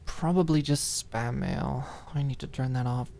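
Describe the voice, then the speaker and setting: A woman's voice: extremely low pitch, normal speed, gravelly, warm tone. A woman voicing a glum, timid young woman in an audiobook drama, evasive and hesitant, stammering her excuses.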